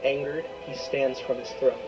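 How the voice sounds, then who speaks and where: A man's voice: medium pitch, fairly even intonation, moderate pace, dull audio. Man narrating a dramatic story over music. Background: prominent music.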